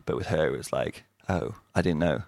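A man hosting a podcast in casual chat, rambling with lots of fillers and quick laughs. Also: extremely low pitch, vocal fry, darkish tone, no room sound, clean studio sound. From Wales and Australia. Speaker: podcast host.

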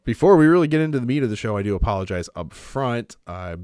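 A man's voice: medium pitch, expressive, fast talker, darkish tone, smooth, dry recording, clean studio sound. A man hosting a podcast as a pastor, talking in a rambling way with many fillers while congested from a cold. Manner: rambling, with frequent uh fillers and restarts. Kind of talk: casual podcast talk on theology.

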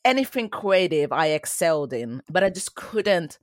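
A woman's voice: on the low side, sing-song, moderate pace, no room sound, clean studio sound. A woman in her forties who grew up in Sweden, a dance teacher answering interview questions in an earnest, reflective way.

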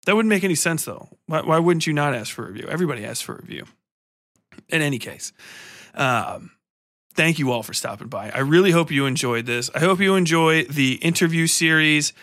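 A man's voice: on the high side, lively intonation, a little fast, a bit bright, no room sound, clean studio sound. A man hosting a podcast, speaking casually and irreverently in a loose, rambling, sweary style. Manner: irreverent, profane, informal. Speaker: podcast host.

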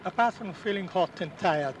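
An elderly man in his seventies, born in Austria, recalls his past in an earnest, halting way. His voice sits a little high and is picked up from some distance outdoors, with clear street noise around him. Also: expressive. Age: 70s. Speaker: war veteran interviewee. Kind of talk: outdoor interview, recounting memories. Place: outdoors at a public ceremony. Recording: distant mic, noisy outdoors.

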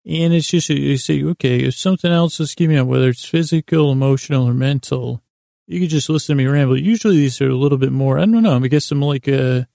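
Male podcast host, heavy vocal fry and relaxed tone, delivering a meandering, self-interrupting bedtime monologue. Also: mid-range voice, no room sound, studio quality. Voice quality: gravelly, creaky. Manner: meandering, halting, self-interrupting, gently silly.

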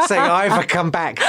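A British man, a podcast guest, chatting fondly and wistfully about a childhood cartoon, soft and sentimental. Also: high voice. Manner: wistful, emotional storyteller. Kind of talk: casual chat.

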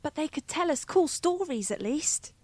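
A woman's voice: sits high, lively intonation, moderate pace, a little mellow, no room sound. A woman playing a curious, earnest young schoolgirl in a fantasy audio drama, asking things in a naive, inquisitive way. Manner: inquisitive, naive, childlike phrasing. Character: curious, earnest young schoolgirl questioning her mother at bedtime.

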